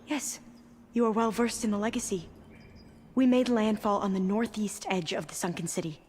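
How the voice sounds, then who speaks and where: An actress playing a devout, guarded woman in a video game, speaking in a formal, measured, reverent way. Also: mid-range voice, fairly even intonation, darkish tone, slight background noise, close to an everyday voice. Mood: guarded, solemn. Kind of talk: dramatic video game acting.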